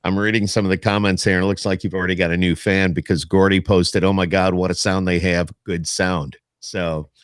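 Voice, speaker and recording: A man's voice: sits low, flat delivery, brisk, hardly any pauses, smooth, dry recording, studio quality. A man chatting casually as the host of a podcast interview.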